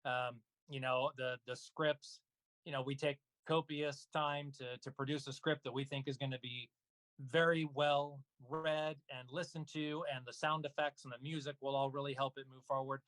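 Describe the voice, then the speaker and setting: A man speaking casually as an interview guest about his work, halting with frequent pauses and asides. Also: mid-range voice, level tone, a bit bright, dry recording, rough recording. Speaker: guest, voice actor and audio drama producer. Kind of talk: casual interview talk about own projects.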